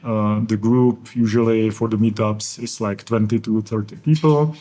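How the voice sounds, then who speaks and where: A man with a Czech accent, a guest in a casual podcast interview, talking earnestly and enthusiastically with many pauses and fillers. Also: fairly low pitch, steady tone, dark tone. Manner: earnest and enthusiastic, rambling with frequent hesitations. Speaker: guest, education program organizer. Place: conference venue.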